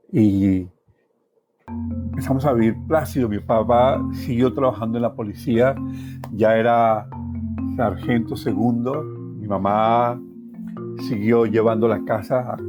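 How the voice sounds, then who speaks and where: A Colombian man with a fairly low voice tells a personal story. He is reflective and expressive, leaning on repetition for emphasis. Music plays clearly behind him. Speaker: interviewee recounting his life.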